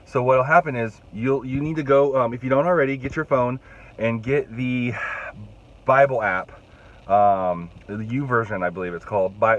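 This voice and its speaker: A man's voice: on the low side, a bit bright, dull audio. Young man vlogging with a cold. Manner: rambling, restarts and fillers, chatty. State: congested, fighting a cold, voice rough. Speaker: youth pastor. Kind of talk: casual vlog to camera. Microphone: phone held at arm's length.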